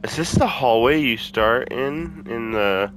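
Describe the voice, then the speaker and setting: A man's voice: medium pitch, animated, slow pace, a bit bright, faint music behind, low-quality recording. A man hosting a game playthrough with casual, sweary commentary, muttering his reactions to himself. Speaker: gaming video host.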